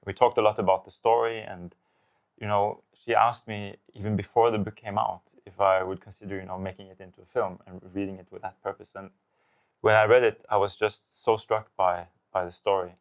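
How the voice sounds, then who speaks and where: A man's voice: lowish voice, little pitch movement, a little fast. A man from Norway, a film director, answering interview questions thoughtfully, with many fillers and restarts.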